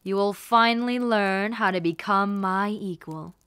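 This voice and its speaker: Woman acting as rebellious warlord princess, haughty and bitter, unhurried formal delivery, dry close sound, audio roleplay. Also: medium pitch, a bit bright, clean tone, dry recording, natural voice. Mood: teasing, haughty. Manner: formal, slow, deliberate, theatrical delivery. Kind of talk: scripted roleplay monologue to the listener.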